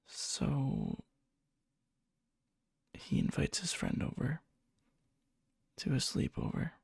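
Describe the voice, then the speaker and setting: A man playing a needy yandere young man confessing love to a friend in a hushed, halting ASMR roleplay, often slipping into a whisper, breathy and gravelly. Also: on the high side, no room sound, full character voice. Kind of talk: ASMR boyfriend roleplay, soft intimate storytelling. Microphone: close mic, dry.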